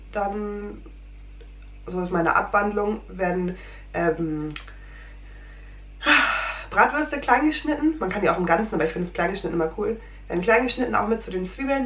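A woman in her thirties chatting casually in her home kitchen, rambling with many fillers and sounding a little under the weather. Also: medium pitch. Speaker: food vlogger. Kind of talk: casual chat. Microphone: fixed camera at desk distance. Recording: roomy kitchen with faint hum.

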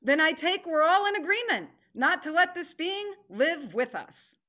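A woman's voice: very high voice, sing-song, pushed and bright, full character voice. A middle-aged woman playing a formal, stern tiger who presides over an animal council in a play reading on a video call. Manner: ceremonious, deliberate. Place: living room at home.

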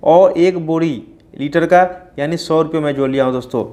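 A man's voice: fairly high pitch, moderate pace, dark tone. A man, hosting an informal explainer and often addressing listeners as friends. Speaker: poultry farming video host. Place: small plain indoor room.

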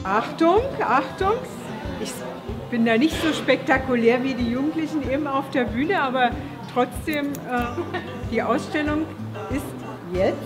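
A woman speaking loudly to a crowd as she opens an exhibition. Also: sits high, unhurried. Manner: loud, announcing to a crowd. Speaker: exhibition opener. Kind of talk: public speech at an opening. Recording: live event with crowd and loud music.

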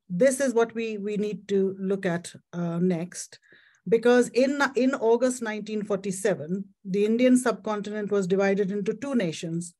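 A woman gives a slide-based history talk to her club over a video call, heard through a computer microphone. Her voice sits at an ordinary middle pitch. She talks loosely and conversationally, scattering her explanations with fillers and restarts. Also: a little mellow. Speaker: club president-elect giving a talk.

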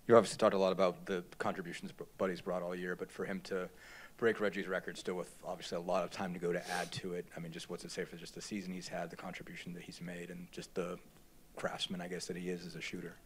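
A man's voice: deep voice, level tone, fast, husky. A man, a reporter, asking long, hedging questions at a press conference.

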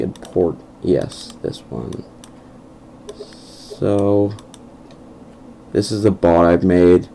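A young man with a croaky voice narrating a casual game walkthrough over his screen recording, hesitant and full of ums. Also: deep voice, mellow, slight background noise. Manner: hesitant, many ums and restarts. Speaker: gameplay video host. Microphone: computer microphone over screen recording.

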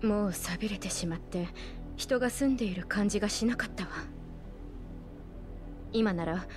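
An actress playing an earnest, compassionate young woman in a video game, serious and soft-hearted in delivery. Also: medium pitch, moderate pace, over music, close to an everyday voice. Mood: thoughtful. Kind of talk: dramatic video game acting.

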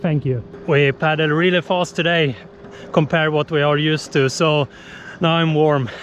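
A Swedish man in his thirties talks slowly and cheerfully to a camera he holds at arm's length beside a lake. His voice sits a bit high, and the sound is dull and a little muffled, with faint outdoor noise behind him. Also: steady tone. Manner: slow, cheerful, plain non-native English. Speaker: vlogger host. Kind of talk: casual vlog to camera. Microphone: action camera held at arm's length.

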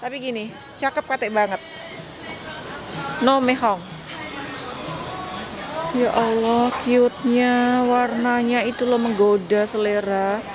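A woman's voice: on the high side, steady tone, slow pace, long pauses, a crowd in the background, rough recording. A woman in her thirties with an Indonesian accent, chatting casually as a vlogger, slangy and exclaiming, mixing in English words. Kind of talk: casual shopping vlog chat. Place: indoor clothing shops in a busy mall.

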